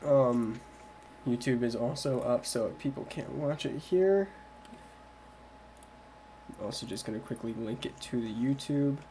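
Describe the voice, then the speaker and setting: A man's voice: medium pitch, measured pace, pauses often, warm tone, a little hiss or hum. A man who is a little sick, hosting a casual livestream Q&A about his game project and talking in a loose, rambling way. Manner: loose and rambling, with many fillers.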